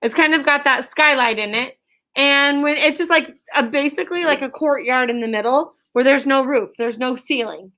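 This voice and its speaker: A woman's voice: sits high, normal speed, pushed and bright. A woman teaching an elementary class in a question-and-answer style, directing pupils and repeating their answers back to confirm them.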